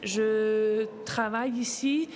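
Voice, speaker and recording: A woman in her forties with a French accent gives a calm, measured testimony. Her voice sits a little high and rings with a long hall reverb. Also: a little hiss or hum. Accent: French (France). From France. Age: 40s. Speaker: press conference speaker. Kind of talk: personal testimony at a press conference.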